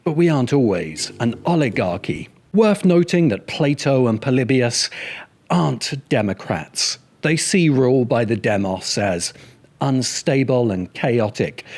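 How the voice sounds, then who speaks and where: A man's voice: mid-range voice, big pitch swings, unhurried, darkish tone. A man narrating a scripted video essay as its host, with dry, sardonic asides.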